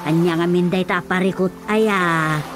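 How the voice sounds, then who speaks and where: A woman acting a defiant, fed-up wife in a radio drama, sharp-tongued and confrontational. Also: on the low side, fairly even intonation, a little slow, over music, natural voice. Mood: concerned, discussing. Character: defiant, fed-up battered wife standing her ground.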